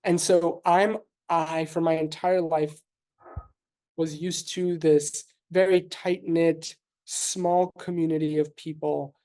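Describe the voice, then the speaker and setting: A man in his thirties from New York City whose first language is Russian, a physician guest answering interview questions in a thoughtful, measured way with frequent fillers and restarts. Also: on the high side, little pitch movement, a little mellow.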